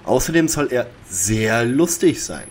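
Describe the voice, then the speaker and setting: A man's voice: medium pitch, lively intonation, unhurried, a little mellow, breathy edge. A man playing a skeptical, sarcastic young detective in a radio play parody. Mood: brisk.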